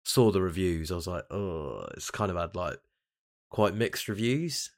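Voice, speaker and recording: Male games podcaster, British, very low voice, mildly croaky, groggy, informal chat. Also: animated, measured pace. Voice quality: slightly croaky. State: tired and groggy after a night out. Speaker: podcast host. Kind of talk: casual chat about video games.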